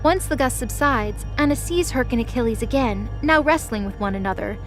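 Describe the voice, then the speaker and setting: High-pitched woman narrating an audio drama. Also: lively intonation, brisk, soft background music.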